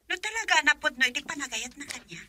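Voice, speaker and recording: Isang babaeng gumaganap bilang anak na dalagang nasasaktan sa pag-ibig, na may punto ng Ilocano, sa isang radio drama. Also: female voice, high-pitched, lively intonation, quick pace, heavily acted. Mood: emotional. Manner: emotional, shifts from soft sighs to loud pleading. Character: young woman torn over love, daughter.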